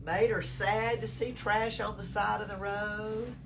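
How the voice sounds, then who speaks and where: A woman with a Southern US accent reading a picture book aloud to children, expressively, pausing to put questions to them. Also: medium pitch, vocal fry. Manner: expressive, engages listeners with questions. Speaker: storytime reader at a library. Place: indoors in a library. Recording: distant mic, noticeable room noise.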